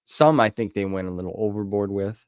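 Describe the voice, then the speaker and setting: Male podcast host, very low pitch, close dry sound, casual chat, conversational. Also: brisk, clear, resonant tone, dry recording.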